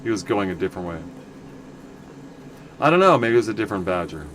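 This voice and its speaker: Man, low voice, loud, rambling game commentary, self-correcting, noisy background hum. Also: sing-song, fast talker. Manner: rambling, self-correcting, chatty asides to viewers. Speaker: livestream game player. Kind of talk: casual gameplay commentary.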